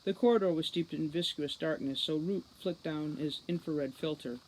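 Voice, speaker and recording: A woman giving an expressive scripted narration of a story as an audiobook reader. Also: sits low, moderate pace, slight background noise, rough recording.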